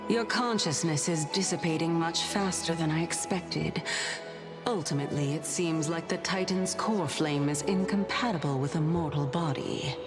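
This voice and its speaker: A woman playing a composed, otherworldly watcher over the dying in a fantasy game, speaking calmly and formally. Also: deep voice, normal speed, lightly acted. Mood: calm, clinical. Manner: calm, formal. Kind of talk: dramatic game dialogue. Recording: loud music behind the voice.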